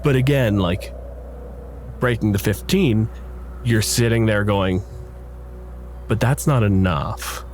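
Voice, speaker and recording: Male game master, slightly low voice, casual explanatory narration, conversational, faint music underneath. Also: slow pace, long pauses, darkish tone.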